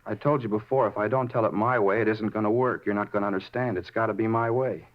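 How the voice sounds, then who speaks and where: A muffled 1950s radio recording of a man acting a rambling murder suspect. His lowish voice moves quickly as he insistently justifies himself, circling small details and repeating his points like someone unhinged. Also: steady tone, lightly acted. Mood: dreamy, insistent.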